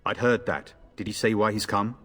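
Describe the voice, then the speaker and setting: A man's voice: lowish voice, fairly even intonation, normal speed, fairly bright tone, big-room sound, faint music behind. A man playing a jaunty, teasing young noble soldier in a video game. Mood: confident, joking.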